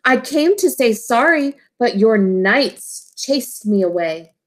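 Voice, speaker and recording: A woman's voice: a little high, expressive, slow. A woman voicing a big male storybook dragon, misunderstood and apologetic, with an emphatic, protesting delivery.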